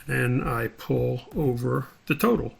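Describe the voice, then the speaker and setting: A man's voice: medium pitch, unhurried, creaky, slightly rough. A man giving an informal screen tutorial as an instructor, thinking aloud step by step as he demonstrates.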